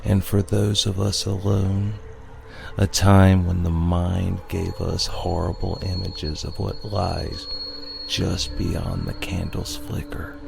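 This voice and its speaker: A man narrating a scripted spooky tale in a measured, dramatic storyteller's manner. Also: sits low, little pitch movement, creaky. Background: music.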